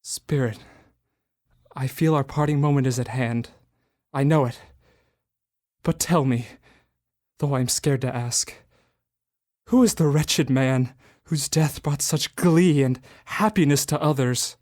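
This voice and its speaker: Young man as repentant old miser; slow, begging delivery; radio drama. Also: mid-range voice, darkish tone, natural voice. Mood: anguished. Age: young adult. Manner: pleading, anguished. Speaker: Ebenezer Scrooge.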